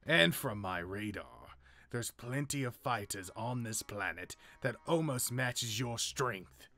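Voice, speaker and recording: Anime roleplay villain: menacing alien warrior male actor, forceful, slow, taunting and contemptuous. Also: medium pitch, expressive, full character voice. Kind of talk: amateur anime game roleplay acting.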